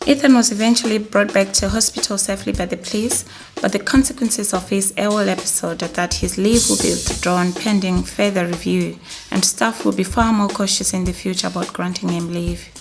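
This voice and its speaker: A woman acting as a mental health nurse narrates a training film. She is concerned and matter-of-fact, at a middling pitch with faint music underneath. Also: steady tone, brisk, natural voice. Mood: measured, concerned.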